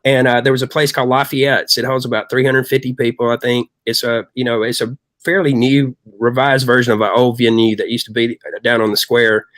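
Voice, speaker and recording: A middle-aged man talks in a chatty, long-winded storytelling style. His voice sits at an average pitch for a man. The sound is dry, with almost no room in it. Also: level tone, fairly quick, few pauses, a bit bright, no room sound. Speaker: rock singer, guest. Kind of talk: casual anecdotal storytelling.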